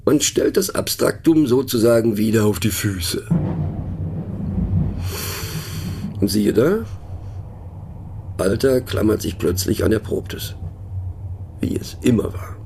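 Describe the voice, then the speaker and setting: An actor playing a middle-aged, pensive and mocking narrator in a radio play monologue, talking haltingly and wryly as if to a listener. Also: male voice, on the low side, animated, noisy background. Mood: wry, musing. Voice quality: husky, gravelly. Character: musing, mocking male narrator pondering abstract words.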